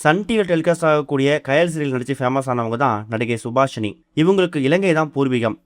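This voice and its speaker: A man's voice: mid-range voice, a little fast, dry recording, clean studio sound. A man presenting entertainment news in a scripted narration style.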